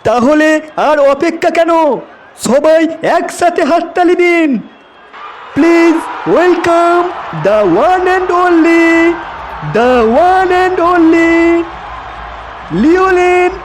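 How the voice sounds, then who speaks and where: A man voicing a grandiose cartoon stage announcer with a dramatic, drawn-out delivery. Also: extremely high pitch, background noise, exaggerated voice. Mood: grand, excited. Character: grandiose stage announcer hyping a football crowd. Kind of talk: theatrical event announcement.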